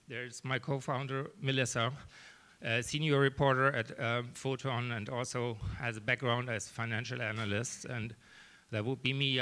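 A German-accented man in his thirties gives an earnest startup pitch into a handheld mic, scattering "um"s as he goes. His voice is bright and forward, sitting at an average pitch for a man. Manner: earnest, steady, many "um"s. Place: small event room, indoors.

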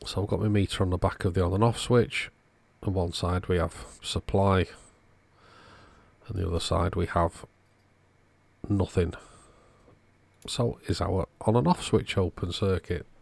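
A man with a very low voice and a gravelly fry narrates a repair job casually, as a hobby-channel host would. The sound is dry, with no room echo to it. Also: fairly even intonation, measured pace, a little mellow.

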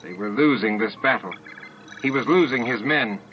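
A man's voice: medium pitch, normal speed. A man reading scripted fiction aloud as a dramatic audiobook narrator. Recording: dull lo-fi old audiobook tape. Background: music and added sound effects.

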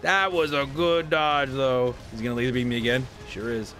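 A man's voice: mid-range voice, steady tone, normal speed, a bit bright. A man in his thirties chatting casually while playing a video game at home, a little stuffy-nosed and rambling. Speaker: gaming streamer. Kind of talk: casual gameplay commentary. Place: home room, seated at desk.

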